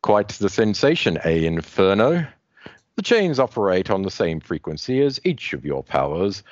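A man's voice: on the low side, darkish tone, no room sound. A man voicing a villainous male warlord who gloats in long menacing speeches. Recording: dull lo-fi dry recording.

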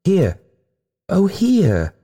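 A proud, rapturous female ghost, as a male narrator puts her on. The pitch leaps high into wailing, pleading melodrama. It suits a theatrical storybook tale of tormented love. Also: sing-song, unhurried, vocal fry, warm tone, breathy edge, exaggerated voice. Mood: desperate.

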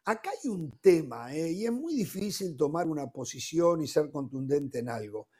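A man hosting a sports talk panel, animated and leaning on "eh" fillers as he leads a heated debate. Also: on the high side, normal speed, husky. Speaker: sports talk show host.

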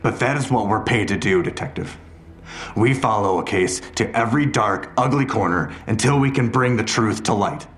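An actor playing a ruthless, controlled police detective in an audio drama, speaking in a measured, coldly threatening way. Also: male voice, lowish voice, fairly bright tone, roomy sound, lightly acted.

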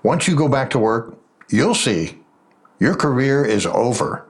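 An actor playing a vindictive, bitter investigator in an audio drama, menacing and accusatory. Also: male voice, medium pitch, big pitch swings, unhurried. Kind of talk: full-cast audio drama acting.

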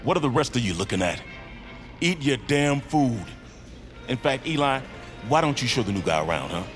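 A man playing a gruff, impatient, bullying asylum orderly, curt and commanding, in an audio drama. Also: medium pitch, big pitch swings, moderate pace, faint music behind, natural voice. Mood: angry.

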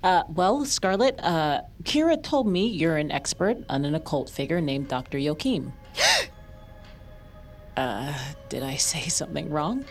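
A wry, skeptical woman in an audio drama. Her voice is on the low side and swings widely in pitch, casual and hesitant, with music clearly behind her. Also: very animated, a little slow, exaggerated voice. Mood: tentative, curious. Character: skeptical, wry young woman investigating the occult. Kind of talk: naturalistic audio fiction acting.

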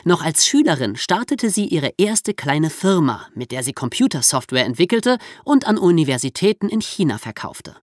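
A woman's voice: low voice, big pitch swings, moderate pace, hardly any pauses, a little mellow, no room sound, clean studio sound. A woman hosting a podcast for young listeners, explaining and storytelling in a conversational way with casual asides. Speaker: podcast host.

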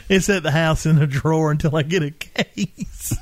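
Guy podcast cohost, kinda high voice, dry close sound, teasing and likes to argue. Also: normal speed, no room sound. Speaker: podcast co-host. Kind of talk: casual banter with friends.

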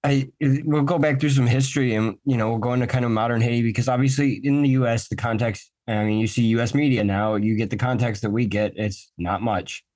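A man's voice: mid-range voice, steady tone, a little fast, few pauses, darkish tone. A man hosting a podcast interview, talking loosely and conversationally with fillers. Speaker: podcast host.

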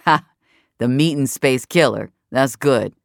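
A woman's voice: a bass voice, unhurried, gravelly, no room sound, heavily acted. A woman voicing a dry, teasing young man, a casual regular at an online game, in an audio story. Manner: dark, joking, casual.

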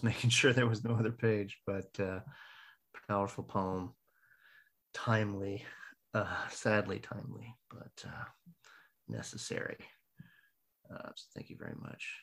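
Low, gravelly man hosting a poetry night, husky and halting, casual and chatty. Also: fairly even intonation, a bit bright. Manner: chatty, halting, many fillers and restarts. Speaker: open mic host.

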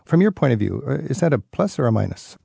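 A man's voice: medium pitch, very animated, quick pace, vocal fry, dark tone, breathy edge, dry recording. A man with an American accent hosting a radio interview, asking earnest, conversational questions. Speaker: radio host.

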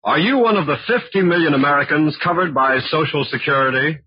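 A man announcing in a formal, polished, scripted 1940s radio style, pitching for a sponsor. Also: brisk, over loud music. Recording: dull old radio broadcast recording.

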